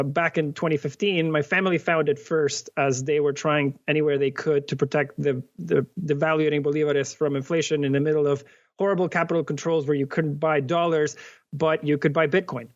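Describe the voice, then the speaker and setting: A man from Venezuela speaking as a podcast guest, his voice sitting a little high. He explains things earnestly, restarting sentences and leaning on fillers as he goes. The audio sounds muffled and lo-fi. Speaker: guest, company cofounder. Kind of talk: interview answers.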